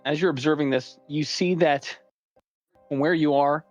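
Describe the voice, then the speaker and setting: A man running a tabletop role-playing game as dungeon master, describing scenes deliberately with pauses between phrases. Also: fairly high pitch, fairly even intonation, no echo, soft background music.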